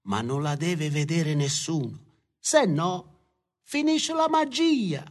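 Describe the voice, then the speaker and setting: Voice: medium pitch, animated, takes it slowly. A speaker telling a casual personal anecdote in short, repeated phrases, as if confiding.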